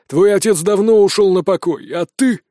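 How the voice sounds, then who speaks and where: A man voicing a crude, mocking bandit leader with a booming, threatening manner in a fantasy audiobook. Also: moderate pace, mellow, no room sound, clean studio sound, natural voice. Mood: scornful. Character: gruff menacing male bandit chief, crude and mocking.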